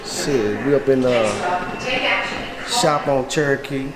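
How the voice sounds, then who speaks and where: A man's voice: mid-range voice, slow pace, a loud crowd. A slightly tipsy man bantering casually in slang as a contestant in a barbershop spelling contest. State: a little tipsy. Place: barbershop interior. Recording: echoey shop, loud people behind.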